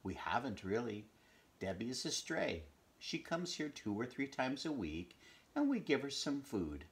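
A man reading the part of a plump, pleasant, gentle woman who owns dogs, in a conversational storybook manner. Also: medium pitch, huge pitch swings, measured pace, voice pushed up. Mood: gentle, musing.

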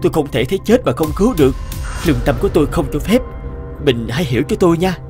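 A man's voice: a little high, expressive, fast, lightly acted. A man voicing a kind, principled village healer in a cartoon, speaking politely and earnestly. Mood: resolved, pleading. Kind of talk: animated moral tale dubbing. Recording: music behind the dialogue.

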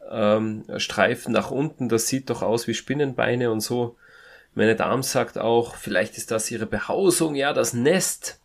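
A man in a casual podcast discussion, speaking haltingly as he searches for his words. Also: medium pitch, gravelly.

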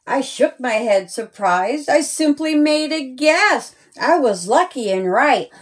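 A woman storyteller reads a rhyming tale for young children. Her voice sits fairly high, and she takes it very slowly. The delivery is deliberate and sing-song. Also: expressive. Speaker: storyteller, author reading own children's story.

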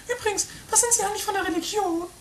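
A woman playing a vain, excitable would-be pop star with a gushing, self-important manner in an improvised comic radio play. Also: extremely high pitch, very fast, a little hiss or hum. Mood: gossipy, haughty.

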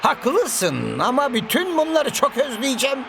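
An actor voicing an eccentric elderly professor in a cartoon dub, brainy and absent-minded, with an excitable drawn-out delivery. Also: male voice, extremely high pitch, creaky, exaggerated voice. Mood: wistful. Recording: music behind the voice.

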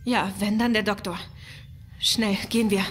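A woman's voice: medium pitch, fairly even intonation, moderate pace, faint music behind, lightly acted. A woman playing a worried, emotional captain's wife in a sci-fi horror radio drama. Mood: anxious.

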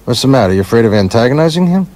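An actor in a radio play as a bitter, boozy screenwriter, brash, profane and sardonic, always needling. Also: male voice, mid-range voice, sing-song, rapid-fire, darkish tone, natural voice. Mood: goading. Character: bitter, boozy, wisecracking male screenwriter with broken leg.

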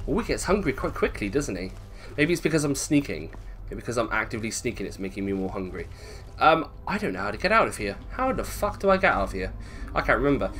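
A man's voice: medium pitch, a bit bright, slight background noise. A British man giving casual gameplay commentary, thinking aloud, swearing and questioning himself as he goes. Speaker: gaming video host.

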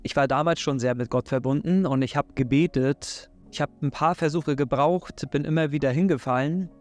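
A man in his thirties from Hamburg with a Hamburg German accent, giving an earnest, encouraging faith talk straight to camera in a living room. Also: a little high. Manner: earnest, encouraging, direct address. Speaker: faith and self-help YouTuber. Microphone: desk microphone in front.

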